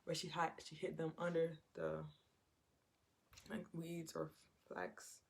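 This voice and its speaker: Gravelly, flat-voiced woman preaching. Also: deep voice, slow pace. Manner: conversational, repeats phrases, acts out quotes. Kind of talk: informal Bible teaching.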